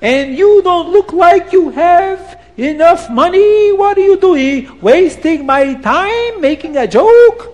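A man voicing a loud, greedy, boastful rich palace owner who shouts drawn-out calls. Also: very high voice, very animated, pitched above the performer's own voice, exaggerated voice. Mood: furious.

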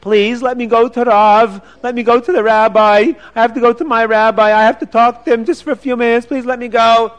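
Shrill desperate rich man, sobbing and begging; a man does the voice. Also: little pitch movement, normal speed, smooth, muffled recording, lightly acted. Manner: wailing, pleading, sobbing. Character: rich proud man, now a desperate wailing prisoner.

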